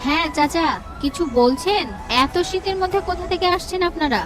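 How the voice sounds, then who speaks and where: Cartoon role: hospitable village mother of little means , played by a woman. High, solicitous voice over background music. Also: a little fast. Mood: concerned. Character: kind, hard-up village mother, concerned and hospitable. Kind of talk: animated story voice acting. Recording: music under the voice.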